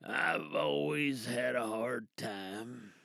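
A man voicing a weary, cynical fixer in a dystopian audio drama monologue, brooding and deadpan with a gravelly voice. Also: medium pitch, very slow, dry recording, studio quality, voice pushed down, exaggerated voice.

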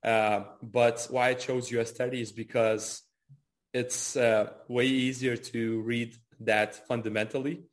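A man's voice: medium pitch, flat delivery, a little slow. Casual man in his early twenties. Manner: repeats words, frequent "kinda" and "you know". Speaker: trader and trading educator being interviewed. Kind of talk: casual interview answers.

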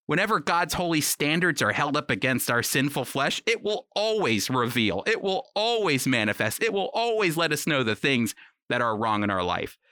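Bright-voiced man teaching the Bible. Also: a little high, sing-song, moderate pace, clear, resonant tone, dry recording, studio quality. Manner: earnest, emphatic, uses rhetorical repetition. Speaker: radio Bible teacher and host. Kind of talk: conversational Bible teaching for radio.

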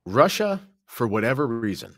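A man's voice: medium pitch, very animated, normal speed, no echo, studio quality. A man from Canada speaking as a podcast guest in a casual religious discussion, explaining things in an earnest, conversational way.

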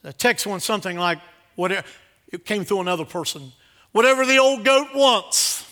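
A man preaching a sermon to a congregation, exhorting emphatically with repeated phrases and swinging between quieter asides and loud declarations. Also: sits high, normal speed.

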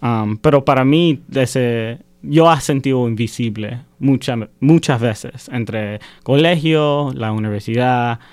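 A young man student shares his experience in a relaxed discussion. His voice is smooth and clear, but he hesitates often and leans on fillers. The recording is dry. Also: mid-range voice, slow, few pauses, dry recording. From United States. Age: young adult. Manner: hesitant, many filler sounds. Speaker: university student. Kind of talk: casual group discussion.

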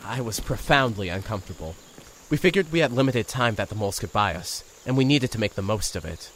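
A man narrates an audio drama in the first person, earnest and wry about his own misadventures. His voice sits low but moves a lot in pitch. Faint outdoor noise runs underneath. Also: animated, a little fast, fairly bright tone. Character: nervous young radio reporter narrating.

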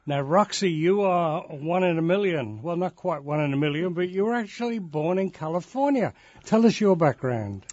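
A man's voice: a little high, expressive, moderate pace, few pauses, a little mellow, dry recording. A man with an English accent, hosting a radio interview with inquisitive questions. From England. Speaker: interviewer host. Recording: muffled, dry radio studio sound.

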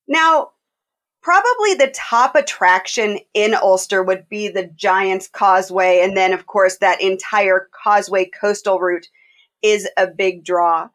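A woman's voice: medium pitch, a little slow, a bit bright. A woman hosting a podcast interview, enthusiastic and asking open questions. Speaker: podcast host. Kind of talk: casual interview.

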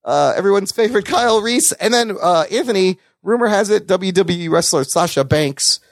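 Animated, higher-pitched man hosting a podcast. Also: moderate pace, no echo, studio quality. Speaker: podcast host. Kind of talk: casual entertainment news chat.

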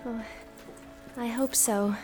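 Earnest, high-voiced young wife. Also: female voice, steady tone, slow, music in the background. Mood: eager, earnest. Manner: hesitant, earnest, breathlessly eager. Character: earnest, timid, devoted young newlywed wife. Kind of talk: stage-style radio drama acting.

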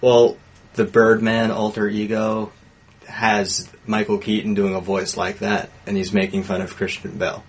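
A man's voice: fairly low pitch, monotone, measured pace, slight background noise. A man in a casual group debate as a panelist on a movie show, questioning and hedging as he presses his point.

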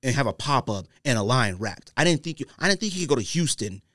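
American man talking casually, low-pitched and slangy. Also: fast, dry recording, studio quality. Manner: animated, slangy storytelling with acted-out imagined quotes. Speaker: podcast host. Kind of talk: casual podcast talk.

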